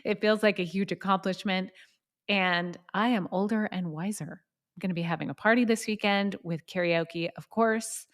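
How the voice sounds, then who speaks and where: A woman's voice: mid-range voice, steady tone, normal speed. A woman of about fifty hosting a solo podcast, giving conversational advice in an informal way and putting questions straight to the listener. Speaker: podcast host and parenting coach.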